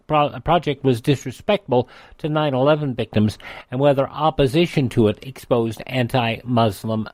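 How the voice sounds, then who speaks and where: A man's voice: fairly high pitch, a little mellow, no room sound. An American man hosting a radio segment, reading a this-day-in-history script with occasional ad-libbed asides. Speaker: radio host.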